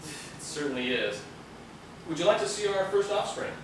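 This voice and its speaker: A man's voice: fairly high pitch, animated, normal speed, lightly acted. A man playing a proud, eager scientist in a stage play, explaining things keenly. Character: proud, eager male scientist doctor showing off creations. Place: theatre stage, picked up from a distance. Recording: echoey, noisy stage videotape from far off.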